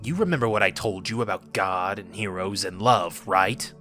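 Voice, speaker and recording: A man's voice: low-pitched, moderate pace, bright, forceful tone, soft background music. A man playing a cynical, scarred army medic in an audio drama, irritable, sardonic and scolding. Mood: grim warning.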